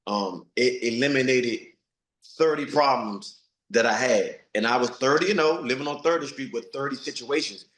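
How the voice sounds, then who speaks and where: A man's voice: medium pitch, normal speed, fairly bright tone, roomy sound, low-quality recording. A man of forty, a retired boxer, giving blunt, streetwise interview answers and repeating himself for emphasis. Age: 40s.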